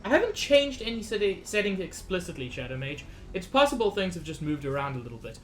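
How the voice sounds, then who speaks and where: A woman's voice: on the low side, very animated, fast. A slightly sick woman streaming a game, chatting anxiously with her viewers in casual commentary. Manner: chatty, anxious, talks to chat. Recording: faint game music behind.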